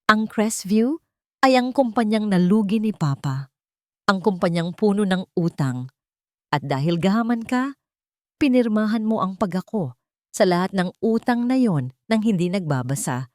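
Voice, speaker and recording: A woman voicing a wronged wife in an audiobook drama, cold, deliberate and vengeful. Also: mid-range voice, expressive, darkish tone, dry recording, studio quality, pitched above the performer's own voice, lightly acted. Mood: triumphant.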